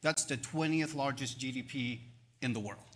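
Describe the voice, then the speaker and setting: An upbeat man in his fifties with an Argentine Spanish accent speaks in a bright, forward voice at mid pitch. He answers panel questions on stage with promotional energy, stacking up numbers between fillers and quick restarts. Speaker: banking executive. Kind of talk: live panel interview on stage. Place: event stage.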